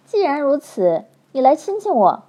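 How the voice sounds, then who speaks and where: A woman's voice: high-pitched, very animated, moderate pace, warm tone, voice pushed up. A woman voicing a clingy, heavily made-up young widow who talks in a coaxing, baby-talk way.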